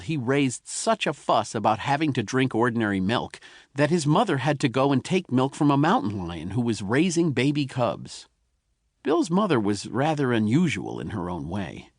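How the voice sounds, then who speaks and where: A man reads a children's tall tale with a folksy, expressive touch. His medium-pitched voice rises and falls with plenty of movement. The sound is close and dry, like a studio audiobook. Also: dry recording. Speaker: audiobook narrator. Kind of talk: scripted storytelling narration of a children's tall tale.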